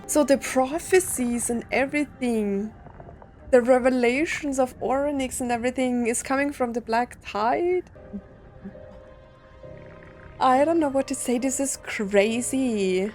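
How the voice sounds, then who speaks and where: Female game streamer, unhurried pace, casual commentary, musing aloud, self-questioning, faint music behind. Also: a little high, darkish tone.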